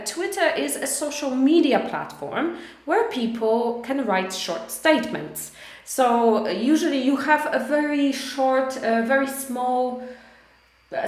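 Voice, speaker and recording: Woman teacher, hoarse, unhurried and hesitant, fairly high voice, roomy echo. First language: English. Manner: slow, hesitant, many fillers and restarts. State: hoarse, losing her voice. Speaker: English teacher. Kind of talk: live online English lesson.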